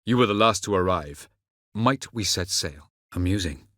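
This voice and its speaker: An actor playing an ancient, aloof elven sage in a video game, speaking in a measured, formal and condescending way. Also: male voice, low-pitched, animated, a bit bright, no room sound, studio quality. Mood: dry, measured. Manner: measured, formal, haughty delivery. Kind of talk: scripted video game dialogue.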